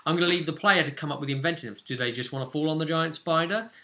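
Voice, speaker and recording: A man's voice: fairly high pitch, very fast, bright, forceful tone. A British man casually recapping a tabletop role-play session as game master, telling it like a story in loose, broken pieces.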